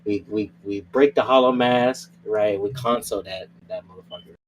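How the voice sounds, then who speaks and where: A man's voice: on the low side, normal speed, rough recording. A man playing a tabletop roleplay game, talking in insistent, slangy, casual banter that circles back on repeated phrases. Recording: clear background noise.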